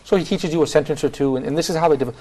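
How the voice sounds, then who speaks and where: A man's voice: fairly high pitch, rapid-fire, mellow. A man from the United States hosting a studio talk show, asking the guests questions. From San Francisco, USA. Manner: upbeat, asks guests questions. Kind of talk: casual studio panel talk.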